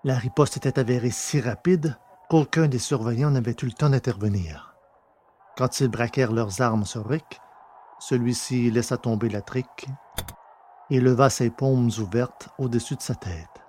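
A man with a slightly husky voice reading scripted fiction as an audiobook narrator. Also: mid-range voice, normal speed, dark tone, a little hiss or hum.

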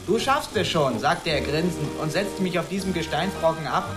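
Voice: mid-range voice, normal speed, natural voice. An actor playing a polite, plucky twelve-year-old boy in a radio drama. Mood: earnest storytelling. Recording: music behind the voice.

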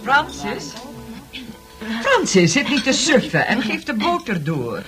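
An actress playing a brisk, patient nurse in a radio play, admonishing her charges in a measured way. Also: fairly high pitch, huge pitch swings, a bit bright, soft background music, full character voice. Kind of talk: Dutch radio play acting. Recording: noisy old radio drama recording.

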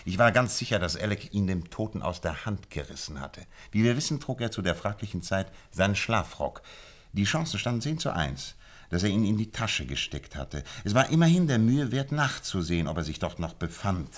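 A shrewd gentleman detective in a radio play, with a very low voice. He speaks eloquently and with great self-assurance, laying out each point methodically and with theatrical emphasis. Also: very animated, a little fast. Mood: confident explaining. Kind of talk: German radio drama acting.

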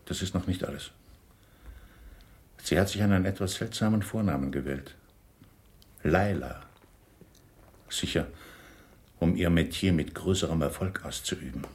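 An actor in a German radio drama playing a grave, middle-aged uncle who confesses insistently, in a deliberate and dramatic manner. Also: male voice, deep voice, darkish tone. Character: confessing older uncle, grave, self-justifying yet pleading.

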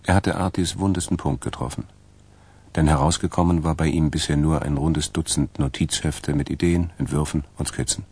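A man narrating a radio crime drama in a measured, dry way, with a gravelly, husky voice. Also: a bass voice, steady tone, a little mellow, dry recording. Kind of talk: scripted crime story narration.

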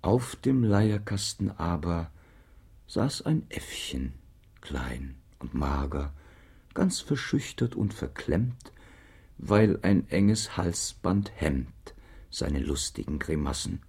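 Playful narrator, low and husky, highly expressive, rhymed children's storytelling. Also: male voice, takes it slowly, exaggerated voice. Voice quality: gravelly, husky.

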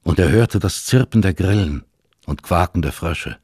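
A man narrating a literary radio play as a calm storyteller, husky and measured in his delivery. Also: low voice, dry recording, clean studio sound, exaggerated voice. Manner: measured, sober.

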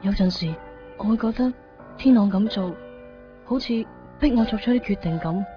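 Actress playing a conflicted, brooding young woman, low voice. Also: lightly acted. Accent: Hong Kong Cantonese. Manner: introspective, halting short phrases. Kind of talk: 1990s Cantonese radio drama acting and introspective narration. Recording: dull lo-fi 1990s radio recording, music behind. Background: background music.

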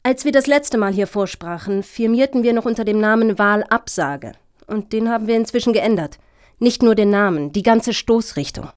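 An actress playing an earnest, officious lobbyist in a satirical radio play, talking in formal, deliberate, speech-like sentences. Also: female voice, on the high side, natural voice. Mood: explanatory. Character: earnest, officious female lobbyist pitching for funding.

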